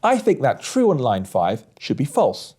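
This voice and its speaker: A man's voice: mid-range voice, wildly swooping pitch, unhurried, a little mellow, breathy edge, full character voice. A man voicing a wry female engineering director in a storybook, firm and matter-of-fact. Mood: dry.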